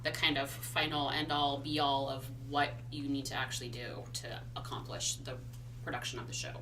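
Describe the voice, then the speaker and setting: A young woman with a loud voice, a stage manager giving long, earnest answers in a casual interview. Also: very deep voice, fairly even intonation, normal speed. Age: young adult. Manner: earnest, explains at length. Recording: noisy background.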